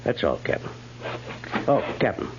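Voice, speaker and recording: An actor playing a cautious, bureaucratic army major in a 1950s American radio drama, measured and official with some hesitation. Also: male voice, background noise, close to an everyday voice. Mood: officious, evasive. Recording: muffled, humming 1950s radio recording.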